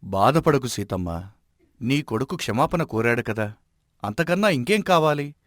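A man playing a wise, respected village elder in an animated moral story, giving advice in a measured, admonishing way. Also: mid-range voice, dry recording, studio quality, lightly acted. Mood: calm advising.

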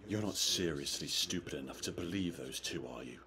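Deep, scornful goading male voice. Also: brisk, sharp tone. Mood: scornful, urging. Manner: commanding, contemptuous. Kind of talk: video game voice acting.

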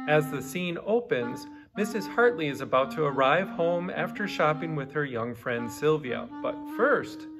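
A middle-aged man presenting a theatrical comic introduction in a hammy, playful way. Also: a little high. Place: small furnished room indoors.

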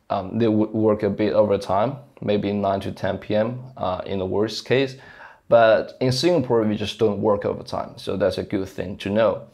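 Young man, Mandarin Chinese accent, lowish voice, earnest, lapel mic in a small room. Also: a little mellow. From China. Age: young adult. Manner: earnest, frequent fillers and restarts. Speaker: software engineer vlogger. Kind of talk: explaining to camera. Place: small plain indoor room. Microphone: lapel mic, camera in front.

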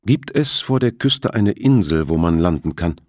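A man's voice: low-pitched, expressive, normal speed, dry recording, clean studio sound. A man voicing a tired, worried man who speaks with weary control in an audiobook. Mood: controlled, tense. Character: tired, worried man following a kidnapping operation.

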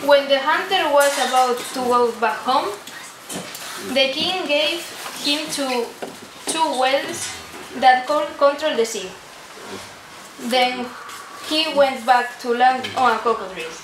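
Teenage female narrator, Spanish accent, high voice, halting storytelling, noisy distant recording. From Spain. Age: teens. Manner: halting reading in non-native English. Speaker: student narrator of a puppet show. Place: indoor room at a day care centre. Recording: echoey room from afar, noisy.

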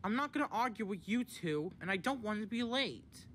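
A man voicing an indignant, high-pitched diesel engine. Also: animated, moderate pace, fairly bright tone, a little hiss or hum. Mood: stern, indignant. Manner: indignant, emphatic. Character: earnest diesel engine, severe when wronged, forgiving.

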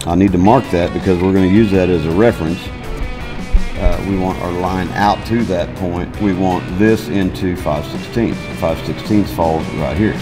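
A man's voice: sits low, steady tone, normal speed. A man in his fifties teaching welding in a large metal workshop, talking in a folksy, plainspoken way as he works and thinks aloud. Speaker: welding instructor. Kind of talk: hands-on shop demonstration. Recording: music bed under the voice.

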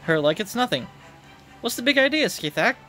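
A man's voice: very high voice, very animated, a little fast, pushed and bright, soft background music, pitched above the performer's own voice, heavily acted. A man voicing a bossy, indignant young warrior queen from a video game, with emphatic, theatrical delivery. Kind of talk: video game dialogue read aloud.

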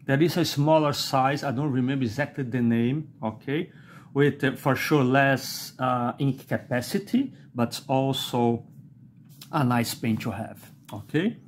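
Slow-talking man with a Brazilian accent. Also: medium pitch, slight background noise. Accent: Brazilian Portuguese. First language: not English. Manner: slow and halting, with many "uh"s and a questioning "okay?". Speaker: fountain pen reviewer. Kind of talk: unscripted product review while writing. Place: indoors at a desk.

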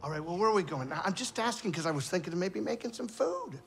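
A man voicing a grumpy, excitable little alien starship pilot in a cinematic video game, gruff and wisecracking. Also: high-pitched, fast talker, slight background noise. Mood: blunt, jokey. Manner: blustering, wisecracking.

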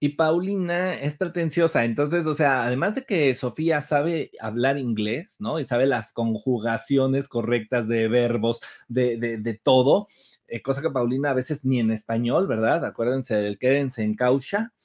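A man's voice: mid-range voice, a little slow. Laughing young man with a Mexican accent. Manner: animated, laughing, mocking asides. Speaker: co-host. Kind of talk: casual gossip chat. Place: home room, indoors. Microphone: video call.

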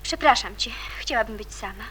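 A woman's voice: on the high side, brisk, a bit bright, a little hiss or hum, close to an everyday voice. An actress playing a proud, stubborn young girl in a radio drama, curt and reserved. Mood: hurt, reproachful. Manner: curt, reproachful or politely reserved. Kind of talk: Polish radio drama acting.

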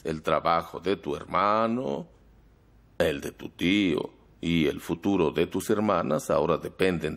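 Un actor de doblaje que interpreta a un empresario poderoso de mediana edad, calculador y coercitivo, que habla con cortesía medida y presiona. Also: male voice, sits low, creaky, breathy edge, heavily acted. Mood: pressuring. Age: middle-aged. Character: middle-aged powerful businessman, smooth-talking, calculating and coercive. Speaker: dubbing actor in a TV drama. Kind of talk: dubbed television drama dialogue.

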